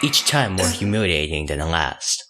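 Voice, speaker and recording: Male narrator, low register, lively intonation, scripted audiobook storytelling. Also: normal speed.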